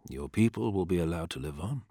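Man voicing a cold ageless emperor, deep, quiet, patient, detached. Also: lively intonation, a little fast, husky, no echo, studio quality, full character voice. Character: ageless male emperor, calm, cold and commanding.

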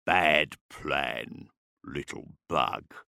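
A man's voice: rumbling low, very slow, gravelly, bright, forceful tone, dry recording, studio quality, pitched below the performer's own voice, full character voice. A man voicing a brutish orc ambassador for an audiobook, guttural and blunt, speaking in terse, contemptuous threats. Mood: menacing, contemptuous.

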